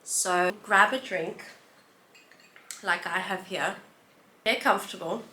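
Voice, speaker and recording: A South African woman in her thirties, chatting to camera about her life in a relaxed, reflective way with listing pauses. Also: medium pitch, a little hiss or hum. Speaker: vlogger. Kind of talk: casual sit-down chat to camera. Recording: roomy echo, faint background ambience.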